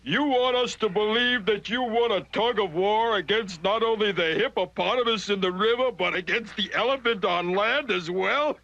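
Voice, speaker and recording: A man's voice: sits high, moderate pace, gravelly, hardly any pauses, sharp tone, no echo, lo-fi sound, full character voice. A man voicing a skeptical, pompous, authoritative lion in a cartoon story. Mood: incredulous. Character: skeptical authoritative male lion judging a contest.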